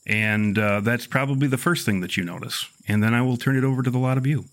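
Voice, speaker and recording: A man improvising narration as game master of a tabletop horror game, with measured, wordy, formal phrasing. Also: medium pitch, a bit bright.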